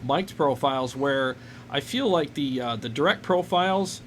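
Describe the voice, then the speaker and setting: A man's voice: mid-range voice, lively intonation, normal speed, fairly bright tone. A man hosting a casual live chat, talking in a jokey, enthusiastic way. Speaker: host of a live gear Q&A stream. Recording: noisy live stream recording.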